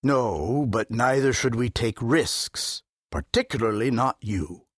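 An actor playing a dignified older duke, speaking as a cautious leader in a formal, solemn manner, in an audiobook. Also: male voice, medium pitch, expressive, slow, dry recording, studio quality. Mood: solemn warning. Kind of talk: full-cast audiobook character acting.

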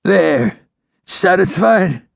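A man's voice: high-pitched, lively intonation, creaky, no echo, studio quality, pitched above the performer's own voice, exaggerated voice. A man voicing a bitter, defiant, wounded Old West outlaw in a western audiobook, his speech strained, slurred and sneering. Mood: bitter, groaning. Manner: drawn-out, sneering, contemptuous. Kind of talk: western audiobook character acting.

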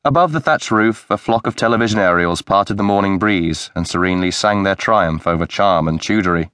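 Male narrator, lowish voice, measured read, close dry studio sound. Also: clear, resonant tone, dry recording. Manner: measured, even storytelling. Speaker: audiobook narrator. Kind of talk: scripted audiobook narration. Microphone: close studio mic.

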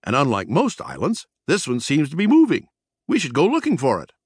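Man voicing a squeaky, cocky prankster mouse. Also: huge pitch swings, a little fast, slightly rough, dry recording, studio quality, heavily acted. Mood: eager, scheming. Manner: squeaky, giggly, cocky delivery. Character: brash male mouse cousin, teasing, mischievous prankster. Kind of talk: acted children's storybook dialogue.

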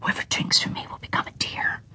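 A woman voicing an enchanted spring in a fairy tale, an eerie water spirit chanting warnings in a breathy whisper. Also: a little fast, pushed and bright. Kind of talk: children's fairy tale storytelling.

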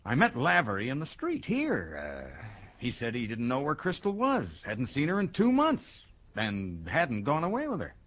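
An actor playing a troubled, blunt American businessman and wronged husband in a radio drama, speaking haltingly. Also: medium pitch, huge pitch swings, dull audio. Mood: bitter. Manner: halting, hesitant, with bursts of bluntness.

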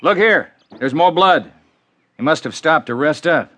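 An actor playing a steady, thoughtful ranch foreman in a western radio play, with a laconic, folksy American Western accent. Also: a little high, a little slow, full character voice. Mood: concerned, decisive.

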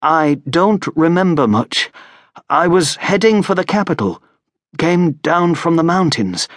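A man's voice: a little high, animated, a little slow, dry recording, studio quality, voice pushed up. A man voicing a wary, courteous injured young traveller in an audiobook, speaking hesitantly and politely. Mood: hesitant, evasive. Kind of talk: audiobook character voice.

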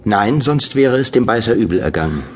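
An actor playing a polite, wordy young gentleman tenant who narrates and speaks with formal, elaborate courtesy in a radio drama. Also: lowish voice, animated, fairly quick, a little hiss or hum, close to an everyday voice.

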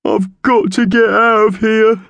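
A man's voice: on the high side, moderate pace, darkish tone, dry recording, pitched above the performer's own voice, close to an everyday voice. A man voicing a fat, dim magpie crook in a storybook, with a whiny, plaintive manner. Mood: sobbing despair. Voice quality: gurgly.

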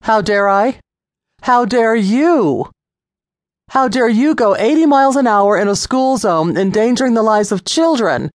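A reader voicing a stern, fed-up male police officer who shouts commands and lectures a speeder in a storybook reading. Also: mid-range voice, wildly swooping pitch, normal speed, few pauses, no room sound, clean studio sound. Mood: commanding. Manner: barking commands, then lecturing.

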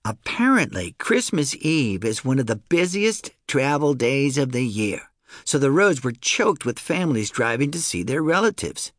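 A man narrating a children's story in the first person, in an expressive, conversational storytelling manner. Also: medium pitch, moderate pace, no room sound, clean studio sound. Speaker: audiobook narrator.

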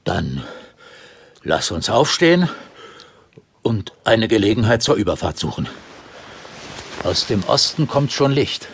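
An actor playing a sober, loyal missionary companion in a biblical audio drama, with a dry, grave, deliberate delivery. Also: male voice, lowish voice, big pitch swings, creaky, breathy edge, full character voice. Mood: sleepy, questioning.

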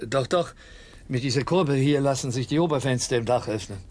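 An actor playing a stiff, disapproving school director in a radio drama. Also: male voice, mid-range voice, fairly quick, a little mellow, natural voice. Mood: matter-of-fact. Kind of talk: German radio drama acting.

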